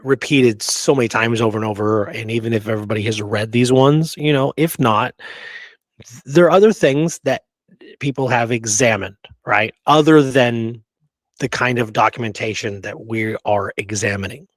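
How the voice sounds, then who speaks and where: A man's voice: mid-range voice, normal speed, no room sound. Man chatting emphatically, close dry sound. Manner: emphatic, rhetorical check-ins like "right?" and "okay?". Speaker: podcast co-host. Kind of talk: casual conversational discussion.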